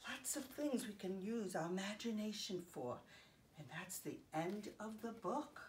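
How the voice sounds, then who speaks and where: A woman in her fifties reading a picture book aloud to young children, expressively, pausing to explain things simply. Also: medium pitch, darkish tone, a little hiss or hum. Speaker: children's story time host. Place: home living room, indoors.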